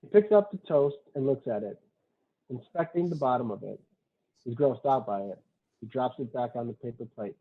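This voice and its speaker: A man's voice: medium pitch, expressive, moderate pace. A man narrating a screenplay's stage directions in a plain, matter-of-fact way. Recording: muffled, dull lo-fi sound.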